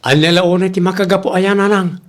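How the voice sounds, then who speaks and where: A woman's voice: on the low side, fairly even intonation, fast, lightly acted. A woman acting a teasing, plain-spoken villager in an audio comedy drama. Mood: reasoning, teasing.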